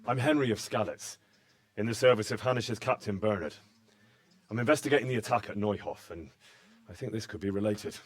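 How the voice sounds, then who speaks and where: A man's voice: lowish voice, a little fast, no echo, natural voice. A man playing an earnest, plain-spoken young servant of a lord in a medieval video game. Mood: earnest, polite.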